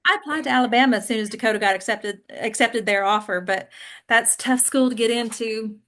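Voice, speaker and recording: A woman's voice: a little high, brisk, a bit bright, lightly acted. A woman playing a dramatic, frank teenage daughter who dotes on her boyfriend in a screenplay read. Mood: proud.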